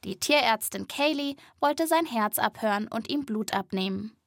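A woman narrates a children's animal podcast in a lively, playful way, speaking straight to young listeners. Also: on the high side, moderate pace, slight background noise.

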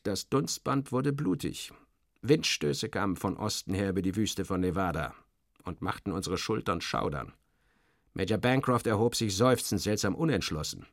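Male narrator for satirical fiction: lowish voice, wry, expressive, clipped phrasing, very dry studio sound. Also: no echo. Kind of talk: first-person literary narration, satirical.

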